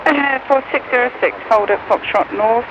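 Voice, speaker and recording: Male ground controller, unusually high voice, procedural calls on a muffled, noisy radio. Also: moderate pace. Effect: air traffic radio. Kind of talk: procedural aviation radio talk. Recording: dull, noisy air traffic radio.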